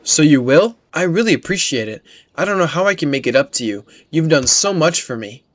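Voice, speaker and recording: A man's voice: a little high, lively intonation, brisk, a bit bright, voice pushed up. A man reading game dialogue as a caring, worried young patrol woman, earnest and polite. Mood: grateful.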